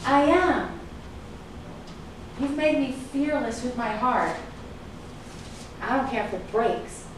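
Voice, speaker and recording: A woman's voice: sits high, expressive, slow pace, pauses often. An actress playing an anxious, worn-down woman afraid of her volatile lover in a staged play reading. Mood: uneasy. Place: echoey indoor room at a staged reading. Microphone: distant microphone. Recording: distant echoey room, clearly noisy.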